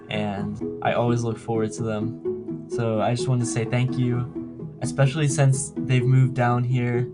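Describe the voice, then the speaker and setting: A young man giving a personal spoken message of thanks in a simple conversational way. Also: medium pitch. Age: young adult. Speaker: grandson giving a tribute. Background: loud guitar music.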